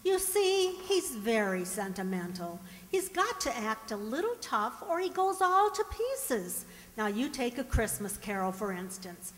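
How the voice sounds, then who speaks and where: A woman's voice: a little high, sing-song, measured pace. A woman playing a patient, kindly, faithful wife in an old-time radio comedy. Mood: gently explaining. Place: live stage in a hall. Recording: echoey hall, distant stage sound.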